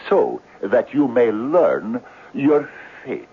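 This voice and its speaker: A man's voice: medium pitch, very animated, drawn-out words, faint music behind, heavily acted. An actor playing a genial, superstitious male friend in a radio drama, speaking in florid, teasing, grandiloquent phrases. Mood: grandiose, coaxing. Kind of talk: 1970s radio drama acting. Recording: dull, slightly noisy 1970s radio recording.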